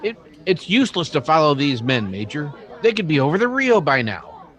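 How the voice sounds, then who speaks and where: A man's voice: fairly high pitch, big pitch swings, moderate pace, fairly bright tone. A man acting an earnest townsman who tells and plays out a western radio drama with dramatic feeling. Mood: urgent, persuading. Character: earnest, reasonable townsman narrating a western tale.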